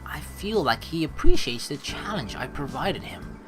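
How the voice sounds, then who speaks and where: Male let's player, casual, rambling, profane, expressive pitch, reading aloud, background game music. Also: moderate pace, low-quality recording. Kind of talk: casual gaming commentary mixed with read-aloud narration.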